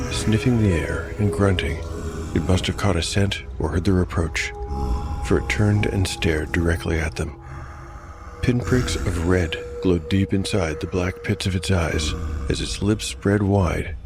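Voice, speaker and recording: A man narrating scripted dark fantasy as game master, in a measured, solemn and carefully enunciated manner. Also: sits low, vocal fry.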